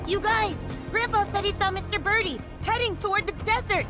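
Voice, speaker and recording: A woman's voice: very high voice, a little fast. A woman voicing a helpful, eager young child in a childlike manner. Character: helpful young child passing on grandparent's advice. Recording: music behind the voice.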